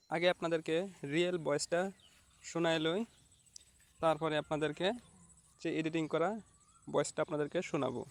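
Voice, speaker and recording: A man hosts a casual step-by-step tutorial. His voice sits a little high and moves very little in pitch. He talks instructionally, often repeating himself as he addresses viewers. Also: gravelly. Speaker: tutorial host. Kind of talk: casual how-to tutorial narration.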